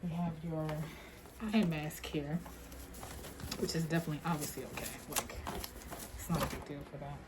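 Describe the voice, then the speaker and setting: A woman playing a rude, sarcastic airport security agent in a soft-spoken ASMR roleplay, muttering dryly and without hurry. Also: low-pitched, fairly even intonation, heavy background noise, natural voice. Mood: dry, methodical. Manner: dry, sarcastic, unhurried muttering.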